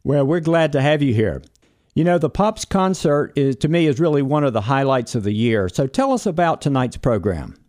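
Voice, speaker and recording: A man hosting a morning broadcast interview, speaking in a smooth, measured way with a few hesitant fillers. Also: fairly high pitch, lively intonation, a little mellow. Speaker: morning show host.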